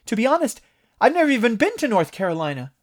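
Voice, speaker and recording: A man voicing an excitable, jovial businessman who gushes in a high voice. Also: normal speed. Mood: alarmed. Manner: high, gushing and breathless.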